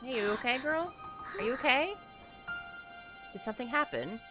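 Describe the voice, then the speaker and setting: A woman's voice: sits high, expressive, normal speed, music in the background. A woman streaming a video game, chatting playfully and reacting aloud as she plays. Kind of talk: casual gameplay commentary.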